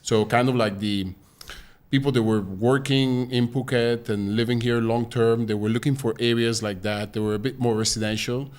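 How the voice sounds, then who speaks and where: A man from Italy, a guest on a podcast in a studio, explaining his story in a relaxed way with a few fillers. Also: mid-range voice, moderate pace. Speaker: guest, business founder. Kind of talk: interview answers.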